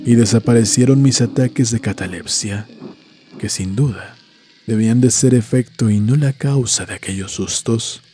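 A man narrates in a dark, mellow voice over music. His delivery is scripted and deliberate, that of a horror storyteller. He phrases each thought in a measured way. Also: mid-range voice.

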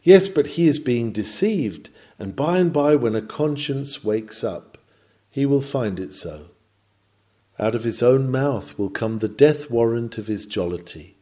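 A man's voice: mid-range voice, animated, a little slow, mellow. A man reading a scripted sermon in a solemn, emphatic, rhetorical manner. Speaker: reader of a historical sermon. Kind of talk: sermon, read from a script with oratorical delivery.

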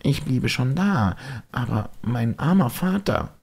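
Man doing a high-pitched, eager puppet boy. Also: lively intonation, takes it slowly, creaky, darkish tone, breathy edge, exaggerated voice. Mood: eager, affectionate. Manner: eager, childlike, exclamatory. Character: naive, excitable little boy puppet, prone to fibbing. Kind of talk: audiobook storytelling.

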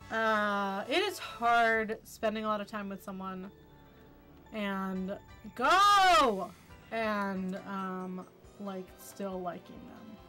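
A woman's voice: mid-range voice, extremely slow, bright, forceful tone. Tipsy, chatty young woman gaming. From US East Coast. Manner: chatty, swears often, giggly. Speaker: co-streamer guest. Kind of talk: casual gaming stream chat. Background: game music.

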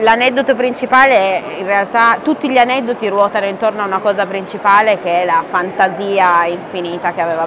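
Woman, 30s, author at a book fair, earnest interview talk, lapel mic, noisy hall. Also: medium pitch. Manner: earnest, fluent, with frequent fillers. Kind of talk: conversational interview answers.

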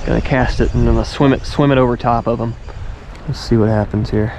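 A man hosting his own fishing channel, chatting excitedly to viewers from a kayak on a river and sounding tired. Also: medium pitch, fast talker. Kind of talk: casual on-the-water vlog narration. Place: outdoors on a river, in a kayak and on the bank. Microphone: action camera. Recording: wind and water noise from outdoor camera.

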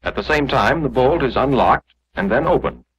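Male narrator, American, 1940s instructional style: measured explanation, animated intonation, slightly husky tone, muffled vintage film audio. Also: medium pitch.

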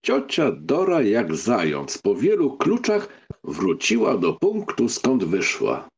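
Gravelly, husky male audiobook narrator. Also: mid-range voice, wildly swooping pitch, slow. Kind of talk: scripted literary narration.

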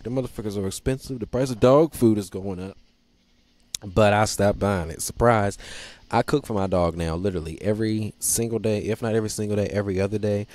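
A man's voice: mid-range voice, a little mellow. A young man hosting his own show alone, talking loosely and profanely in joking rants into a handheld mic, seated in a small studio set. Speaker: podcast host. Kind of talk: casual solo monologue. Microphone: handheld microphone.

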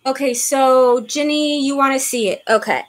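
A woman's voice: high voice, normal speed. A woman in her fifties hosting a live online handbag auction from her living room, chattily calling out bids and thanking viewers by name. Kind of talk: live-stream auction patter. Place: living room at home, indoors. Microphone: webcam in front of her.

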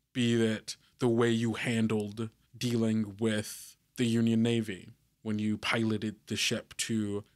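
A man acting as game master, narrating a tabletop game in a measured, scene-setting manner. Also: on the low side.

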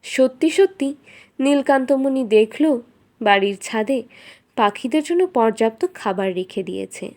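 A woman's voice: a little high, expressive, unhurried, mellow. A woman reading a scripted fairy tale as the storyteller of a cartoon.

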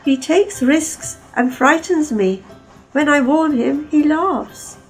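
A woman's voice: sits high, slow pace, faint music behind, pitched above the performer's own voice, rough recording. A woman voicing a timid female engine with a fretful, worried manner.

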